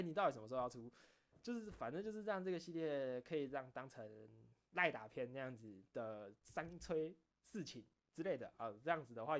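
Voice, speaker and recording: A man with a Taiwanese Mandarin accent and a mid-pitched voice hosts a casual late-night chat for his online audience. He rambles along informally, often doubling back to repeat or correct his phrases. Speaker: online video creator and host.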